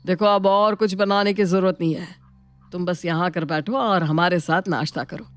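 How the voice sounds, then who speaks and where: A woman voicing an elderly, grateful uncle in an animated moral story, speaking affectionately and repeating words effusively. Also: on the low side, normal speed, pitched below the performer's own voice, lightly acted. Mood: kindly protesting. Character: elderly, grateful, easily flattered village uncle.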